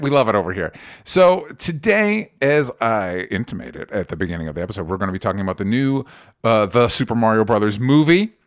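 A man hosting a casual pop culture podcast, joking wryly and rambling as he goes. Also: medium pitch. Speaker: podcast host.

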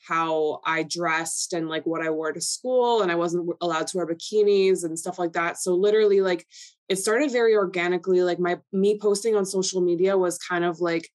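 Brief: young Canadian woman, Polish first language, lowish voice, rambling candid guest. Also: moderate pace. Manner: chatty, filler-heavy, candid. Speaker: guest influencer. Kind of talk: casual podcast interview.

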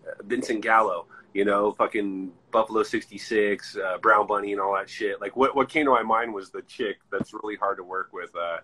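A man's voice: on the low side, steady tone, moderate pace, sharp tone, a little hiss or hum, rough recording. A man in his forties hosting a casual podcast, rambling through anecdotes and swearing freely. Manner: rambling, profanity-laced storytelling. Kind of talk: casual chat.

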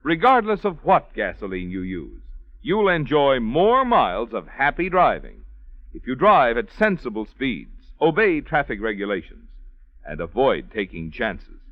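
A man's voice: medium pitch, sing-song, unhurried, dry recording. An American man reading scripted announcements in a polished, emphatic 1940s radio style. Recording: muffled vintage radio broadcast recording.

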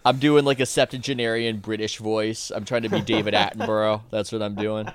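A man's voice: fairly low pitch, little pitch movement, a little fast, a crowd in the background. A man, an author guest on a podcast, talking casually in a rambling way with many restarts and self-corrections.

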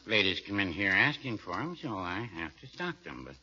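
An actor playing a mild, cautious old small-town American druggist with a hesitant, dry manner in 1940s radio comedy. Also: male voice, lowish voice, very animated, normal speed, sharp tone, heavily acted. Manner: hesitant, noncommittal, droll. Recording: very noisy, muffled old radio recording.

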